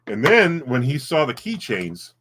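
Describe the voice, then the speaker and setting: A man co-hosting a casual home livestream, talking in halting bursts and often repeating his words. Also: mid-range voice, sing-song, fairly bright tone, a little hiss or hum. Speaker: livestream co-host, collectibles seller.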